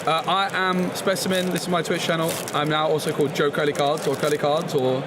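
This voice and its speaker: A man's voice: a little high, little pitch movement, fairly quick. A man hosting a casual live card pack-opening stream at a busy gaming convention, playful and jokey. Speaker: streamer and card channel host. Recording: loud crowd noise of a busy event.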